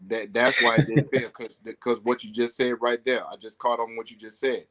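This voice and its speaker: A man's voice: mid-range voice, a loud crowd, low-quality recording. A man in his thirties hosting a casual podcast chat, jumping in and teasing his guests. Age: 30s. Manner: lively, teasing, quick to jump in. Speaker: podcast host.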